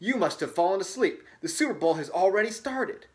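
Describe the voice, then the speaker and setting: A man reads the part of boyish storybook friends. They are bright and insistent, with the voice pitched up a bit. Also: lively intonation, a little fast.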